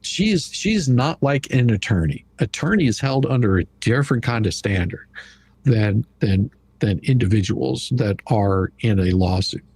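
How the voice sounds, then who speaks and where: Blunt, slightly husky man commentating. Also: medium pitch, expressive, a little slow, a little mellow, no room sound. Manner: blunt, mocking, colloquial. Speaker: livestream host. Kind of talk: casual livestream commentary on a legal dispute.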